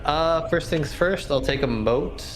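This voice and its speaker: A man's voice: on the high side, measured pace, a little hiss or hum. A man chatting casually as a player in a tabletop roleplaying game. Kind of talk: casual chat while playing. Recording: music under the voice. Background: music.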